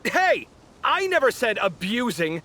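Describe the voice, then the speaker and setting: A man's voice: very high-pitched, very animated, measured pace, pushed and bright, a little hiss or hum, exaggerated voice. A man voicing a proud, easily offended young male architect in a video game, theatrical and sarcastic. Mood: indignant, sarcastic. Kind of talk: video game character acting.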